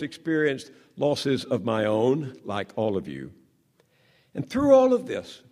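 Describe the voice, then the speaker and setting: An older journalist from the American South gives a measured speech, his voice sitting a touch high for a man. He takes slow, dramatic pauses, and his phrases sink to a near hush. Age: 70s.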